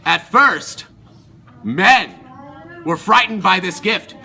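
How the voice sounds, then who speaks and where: A man's voice: high voice, animated, slow pace, gravelly, sharp tone. A male student performer telling a myth as a dramatic story in an amateur recording.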